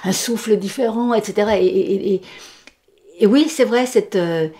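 A woman's voice: medium pitch, normal speed, mellow. A sixty-year-old woman speaking reflectively in an interview, searching for words and repeating them. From born in the United States, moved often. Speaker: puppeteer. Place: furnished workshop room, indoors.

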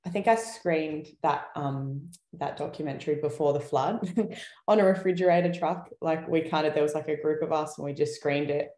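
Young woman on a roomy video call. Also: sits low. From Australia. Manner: relaxed, many fillers and "like", soft laughs. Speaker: guest, wildlife activist. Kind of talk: casual panel discussion.

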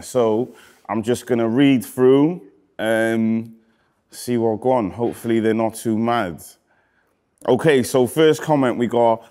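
A man in his thirties from Wales with a Cardiff accent, a rapper casually reading out comments in a relaxed, slangy way that often trails off quietly. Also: mid-range voice. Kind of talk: casual chat. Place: indoor studio with graffiti wall.

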